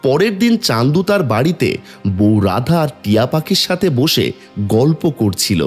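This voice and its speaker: A man narrates a scripted children's cartoon story in a medium-pitched voice. His intonation moves up and down a lot, and soft music plays underneath. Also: sing-song, normal speed.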